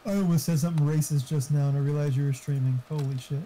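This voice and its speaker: A man's voice: fairly high pitch, fairly even intonation, quick pace, mellow, low-quality recording. A man chatting casually with a gaming friend over voice chat, joking crudely and swearing freely. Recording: faint background noise.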